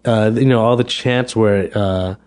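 A man's voice: lowish voice, steady tone, unhurried, a little mellow. A man, a restaurant owner chatting casually as a guest, often restarting and repeating words. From United States. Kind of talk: casual interview chat.

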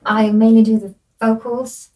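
Higher-voiced Finnish woman, modest. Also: level tone, a little slow, darkish tone. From Finland. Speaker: guest singer. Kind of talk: casual interview chat.

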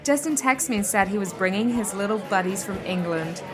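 A woman's voice: mid-range voice, brisk, close to an everyday voice. A woman playing a bubbly, effusive young girlfriend in a comedy radio play, chatty and gushing. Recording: bar crowd and music behind. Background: busy bar with music.

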